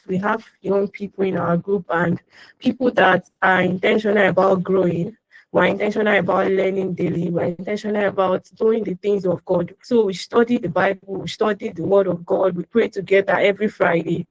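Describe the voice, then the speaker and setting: A woman's voice: medium pitch, no room sound. A woman hosting an online class over a video call, chatty and welcoming, greeting attendees by name. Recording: muffled dry video call audio.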